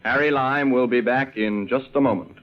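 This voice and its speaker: A male announcer presents an old radio drama in a classic broadcast style. The recording is slightly dull, with loud music playing behind his voice. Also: mid-range voice, moderate pace.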